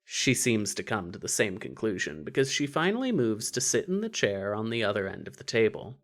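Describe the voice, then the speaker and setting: Lively, swooping story narrator. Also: medium pitch, brisk. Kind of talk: scripted second-person story narration.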